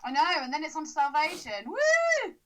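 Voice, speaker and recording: A woman chatting casually as a podcast co-host. Her voice sits a little on the high side, and her manner is sarcastic and blunt, with plenty of swearing and laughter. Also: huge pitch swings, moderate pace, bright, forceful tone. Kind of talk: casual chat.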